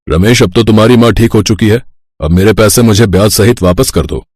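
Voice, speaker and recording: A man's voice: lowish voice, fairly quick, a little mellow, a little hiss or hum, natural voice. A man voicing a greedy, bullying village headman in a moral story cartoon, with a domineering, sly manner. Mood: demanding, threatening. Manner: domineering, demanding.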